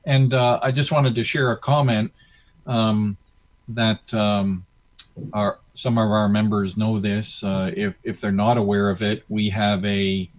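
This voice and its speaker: A man with a fairly low voice relays questions at an online meeting. He speaks informally and hesitantly, in a halting flow. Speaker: question moderator at an astronomy club.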